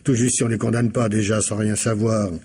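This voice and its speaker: An actor playing a weary married police inspector in a radio mystery, speaking colloquially and defensively. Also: male voice, fairly low pitch, level tone, brisk, dark tone, husky. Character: gruff, weary married police inspector, evasive with his wife. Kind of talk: old French radio mystery acting.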